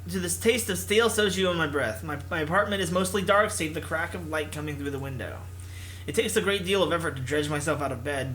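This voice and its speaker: A man's voice: a little high, pushed and bright, a little hiss or hum, poor audio. A man reading visual novel text aloud with casual commentary, in a theatrical, jokey manner. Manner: theatrical, jokey, puts on character voices. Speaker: host of a visual novel playthrough.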